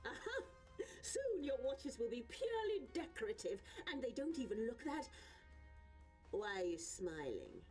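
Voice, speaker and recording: A woman's voice: on the high side, sing-song, moderate pace, warm tone, soft background music. A woman playing an imperious, scornful villain genius in a video game, haughty and theatrical, drawing out words for emphasis. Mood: triumphant. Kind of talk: theatrical cutscene acting.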